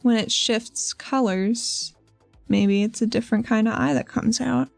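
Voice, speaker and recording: A woman talks casually as a player in a tabletop game, slipping between discussion and character. Her voice sits a bit high. The recording is very dry, with faint music underneath. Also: steady tone, unhurried, creaky, no room sound. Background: soft background music.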